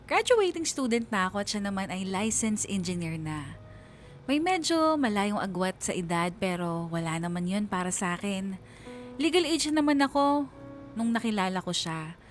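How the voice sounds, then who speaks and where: Casting: actress as young woman storyteller, mid-pitched, confiding and earnest, radio drama narration over soft music. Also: close to an everyday voice. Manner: reflective, confiding. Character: earnest twenty-two-year-old student telling her love story.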